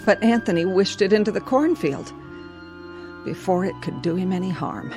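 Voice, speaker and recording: A woman's voice: lowish voice, lively intonation, moderate pace, a little mellow. A small-town housewife. She chats in a folksy, carefully pleasant way with widely moving intonation. Faint music plays behind. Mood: guarded. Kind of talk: audio drama acting.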